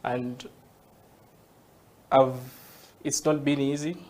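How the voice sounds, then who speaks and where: Ugandan guy, guest on interview, explains things slow and patient, keeps saying yeah?. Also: mid-range voice, level tone, darkish tone. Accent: Ugandan English. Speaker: guest, tech entrepreneur.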